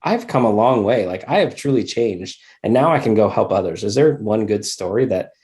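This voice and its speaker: A man's voice: fairly low pitch, lively intonation, moderate pace, darkish tone. A man co-hosting a podcast, introducing a guest with stumbling asides and asking questions. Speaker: podcast co-host. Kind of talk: podcast interview.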